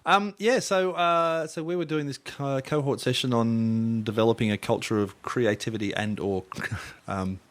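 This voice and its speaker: A man's voice: mid-range voice, moderate pace. A man hosting a podcast interview in a loose, rambling way, full of fillers and restarts. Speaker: podcast host.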